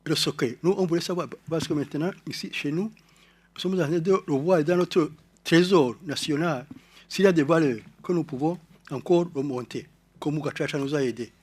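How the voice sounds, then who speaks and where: A man from Rwanda with a Rwandan French accent, speaking to an audience in a halting, explanatory way, often repeating words and restarting. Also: a little high, darkish tone, slightly rough. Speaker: conference speaker. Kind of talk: lecture-style talk to an audience.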